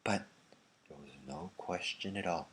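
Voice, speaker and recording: A man voicing a gentle old grandfather in a storybook, telling a mystery softly, slowly and deliberately. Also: sits low, creaky, a little hiss or hum, pitched below the performer's own voice, exaggerated voice, poor audio. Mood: hushed, mysterious. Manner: soft, hushed, slow and deliberate.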